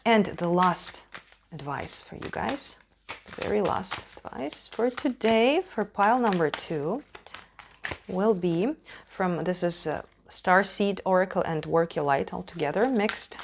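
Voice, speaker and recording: Lower-pitched woman with a Russian accent. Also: expressive, a little slow, gravelly, a little hiss or hum. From Ukraine. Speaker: tarot reader hosting a channel. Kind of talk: casual spoken tarot reading to viewers.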